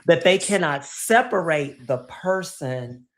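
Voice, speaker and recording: Animated interviewer, pitch swinging widely, trips over words before each question. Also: slow. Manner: lively, stammering starts before questions. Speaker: podcast host. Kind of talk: casual interview talk. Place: podcast studio.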